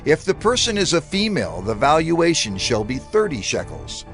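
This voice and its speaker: The Lord, stately and expressive. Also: male voice, mid-range voice. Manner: measured, declamatory reading with rising and falling stresses. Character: the Lord giving laws, a stately divine male voice. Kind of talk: dramatized scripture reading.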